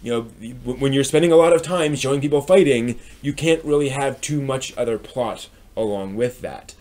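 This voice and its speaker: A man's voice: mid-range voice, normal speed, a little mellow. A man giving a casual, unscripted review as a live stream host, explaining things with wry asides.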